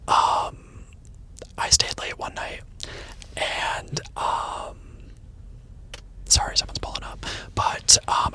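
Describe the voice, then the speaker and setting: A man who teaches, telling a casual rambling story in a soft unvoiced whisper from a parked car, with the mic on the dashboard. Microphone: microphone on the dashboard.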